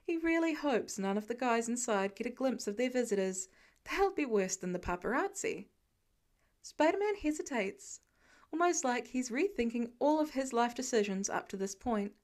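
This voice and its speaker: A woman narrates a written story in a fairly high voice. Her delivery is that of an audiobook reader working from a script. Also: lively intonation, normal speed, a little mellow. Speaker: audiobook narrator of fan fiction.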